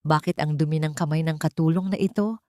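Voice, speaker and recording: A woman's voice: low voice, steady tone, quick pace, warm tone, no echo, clean studio sound, natural voice. A woman voicing a spoiled, fawning young rich woman in an audio drama, with a gushing, affected manner. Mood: disdainful.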